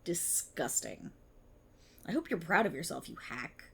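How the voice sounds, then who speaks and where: A woman performs an indignant policewoman who knows she is stuck in a script. Her slightly rough-edged voice swings widely in pitch as she delivers her lines with sarcasm and theatrical exasperation, in the style of a dramatic audio roleplay. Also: sing-song, a little slow, heavily acted. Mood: bitter, scornful. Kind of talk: ASMR audio roleplay monologue, dramatic acting.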